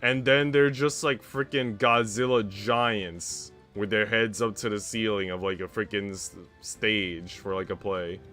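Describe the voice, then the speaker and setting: A man's voice: fairly low pitch, steady tone, a little slow, hardly any pauses, bright, forceful tone, faint music behind. A man streaming a visual novel, reading it aloud and breaking off for informal, slangy comments. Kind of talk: visual novel read-aloud with casual asides.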